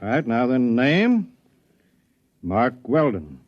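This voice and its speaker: An American actor playing an eager, fussy bank clerk who repeats himself reassuringly in a vintage radio drama. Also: male voice, mid-range voice, very animated, takes it slowly. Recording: dull vintage radio recording.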